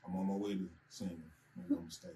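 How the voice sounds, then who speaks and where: Low-voiced Michigan-born rapper in casual chat. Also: male voice, fast, a little hiss or hum. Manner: relaxed storyteller, tells anecdotes with acted-out quotes. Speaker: rapper and songwriter.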